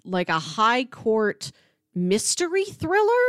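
A woman's voice: on the high side, very animated, slow, a bit bright, dry recording, clean studio sound. A woman chatting casually as a podcast co-host, thinking aloud in a slightly hesitant way. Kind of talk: casual unscripted podcast chat.

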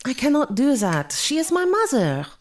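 A woman's voice: sits high, huge pitch swings, moderate pace. An actress playing an anxious, torn young wife and mother in an audio drama. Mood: pleading, torn. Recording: clearly noisy background with clicks.

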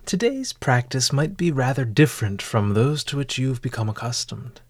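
A man narrating a scripted guided meditation in a formal, measured and eloquent manner. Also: mid-range voice, lively intonation, no echo, clean studio sound.

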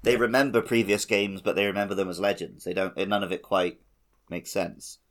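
Lowish-voiced man co-hosting a podcast. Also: fairly even intonation, a little fast, fairly bright tone. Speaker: podcast co-host. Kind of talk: casual banter and discussion.

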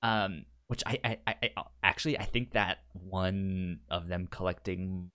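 A man's voice: low voice, flat delivery, unhurried, pushed and bright. A man co-hosting a casual book discussion podcast, jokey, who often stammers and restarts his words. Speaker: podcast co-host.

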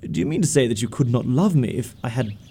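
Man playing a flustered, lovestruck young gentleman, swooping pitch. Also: expressive, fast talker, breathy edge. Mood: anxious coaxing. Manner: gushing, stammering, earnest pleading. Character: smitten, flustered young upper-class gentleman suitor. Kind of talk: stage comedy audio drama acting.

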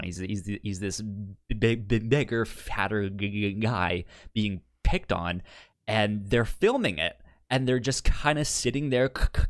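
A man with a stutter chatting casually as a podcast co-host, explaining things haltingly with repeated sounds and restarts. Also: lowish voice, dry recording, clean studio sound. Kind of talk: casual chat recapping sitcom episodes.

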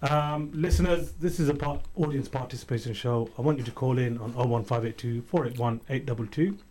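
Guy hosting a radio phone-in from the studio, chatty, lots of ums, mid-pitched voice. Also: fairly quick, a little mellow, a little hiss or hum. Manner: chatty, with frequent ums and restarts. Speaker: radio show host.

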